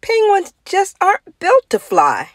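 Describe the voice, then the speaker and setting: A kindly storybook bird teacher, voiced by a woman, coaxing and instructive. The voice rises high and lilts widely as it encourages. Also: huge pitch swings, a little slow, no room sound, heavily acted. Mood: sympathetic.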